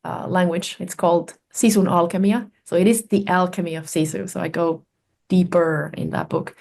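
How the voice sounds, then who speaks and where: Low-voiced woman, thoughtful. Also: fairly even intonation, vocal fry, a little mellow, slight background noise. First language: Finnish. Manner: thoughtful, searching for words with many pauses and fillers. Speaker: guest researcher and author. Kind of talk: reflective conversational storytelling.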